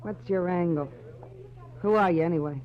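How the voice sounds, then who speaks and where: Actress as hard-bitten, irritable American dame, slangy radio-noir delivery, muffled vintage sound. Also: female voice, on the low side, moderate pace, close to an everyday voice. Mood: hostile. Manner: slangy, tough-talking. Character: brassy, hard-bitten female fortune teller, boozy and irritable. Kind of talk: 1940s radio acting. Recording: dull vintage radio recording with music.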